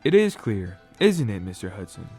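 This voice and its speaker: Man playing a brisk, businesslike lawyer, music behind. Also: on the low side, sing-song, darkish tone. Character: impatient, businesslike lawyer father from New York. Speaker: amateur radio drama actor. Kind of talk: radio drama acting.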